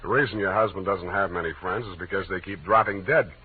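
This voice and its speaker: An actor playing a wry, hard-boiled private detective with an offhand, halting delivery in 1940s radio style. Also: male voice, low-pitched, slightly rough. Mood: sardonic. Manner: wry, offhand, punctuated with uhs. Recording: narrow-band, noisy 1940s radio recording.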